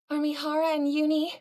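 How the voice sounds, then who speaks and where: A woman's voice: high voice, a little mellow. A woman voicing a headstrong, fiercely loyal young female soldier in dramatic game dialogue, blunt and emotional. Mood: dazed, confused.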